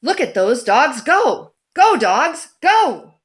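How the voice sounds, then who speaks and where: Slow, animated woman reading a picture book. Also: sits high, slight background noise. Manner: animated, deliberate, simple storytelling delivery. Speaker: children's book reader. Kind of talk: picture book read-aloud.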